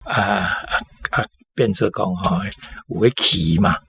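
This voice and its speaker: A man's voice: sits low, takes it slowly, creaky, a bit bright, slight background noise. A man giving casual, chatty commentary on stories for a radio programme.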